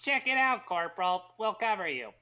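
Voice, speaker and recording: Lady doing a eager robot soldier, lively voice, really noisy recording, amateur script read. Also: mid-range voice, moderate pace, lightly acted. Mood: brisk, alert. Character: eager battle droid soldier.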